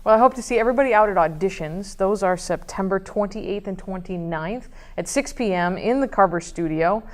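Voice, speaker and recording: A woman's voice: mid-range voice, brisk, few pauses. A woman hosting a conversational promotional interview, putting questions to a guest.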